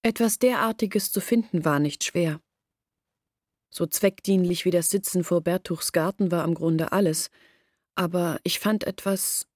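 A woman's voice: on the low side, darkish tone, no echo, clean studio sound. An actress playing Olga, an earnest young village woman, telling her story in a radio play. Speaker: lead character in radio play. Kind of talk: radio drama acting.

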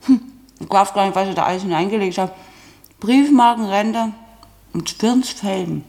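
A chatty, slightly creaky young landlady's daughter. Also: on the low side, a little mellow. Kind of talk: German radio play acting.

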